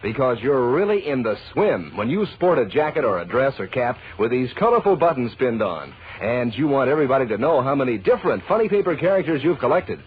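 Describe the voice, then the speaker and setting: A man's voice: medium pitch, sing-song, brisk, few pauses, husky, narrow, tinny audio. An American man announcing a 1940s radio advertisement with enthusiastic, folksy salesmanship.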